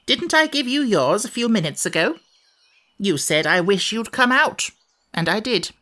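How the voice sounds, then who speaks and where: A woman's voice: mid-range voice, very animated, normal speed, sharp tone, voice pushed up. A peevish, ancient sand fairy from a children's storybook, played by a woman. The creature is fussy and sorry for itself, spinning out old memories in a gloomy, grumbling manner. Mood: impatient. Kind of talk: children's audiobook character voice.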